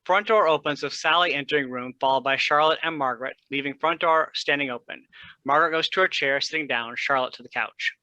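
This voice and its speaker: Narrator reading stage directions for a play, bright voice, no room echo. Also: medium pitch, brisk, highs cut off.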